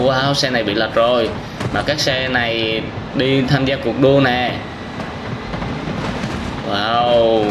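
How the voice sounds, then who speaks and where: A young man with a southern Vietnamese accent giving casual, exclamatory reaction commentary as a gaming host, speaking straight to young viewers. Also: mid-range voice. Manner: exclamatory storytelling with frequent wows and addresses to viewers. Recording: clearly noisy with game vehicle sounds and sirens.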